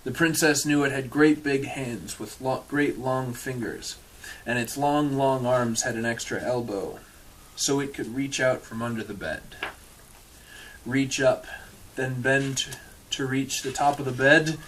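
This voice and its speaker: A man's voice: medium pitch, a little slow, a bit bright, slight background noise, low-quality recording. Man reading a storybook, dry and irreverent. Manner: dry, irreverent, swears in asides. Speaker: storyteller reading a picture book aloud to an audience. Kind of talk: storybook read-aloud with casual asides.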